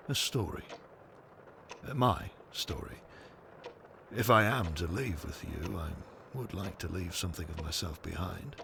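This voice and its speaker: An actor playing a very old, lonely man who speaks in a halting, wistful and resigned way in an audio drama. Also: deep voice, vocal fry, noisy background. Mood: hesitant. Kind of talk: audio drama character acting.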